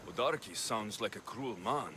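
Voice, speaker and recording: A man playing a gruff, blunt young Greek mercenary in a video game, terse and impatient with dry delivery. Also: on the low side, animated, moderate pace, noisy background, lightly acted. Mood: resolute. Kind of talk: video game cutscene acting. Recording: music under the voice.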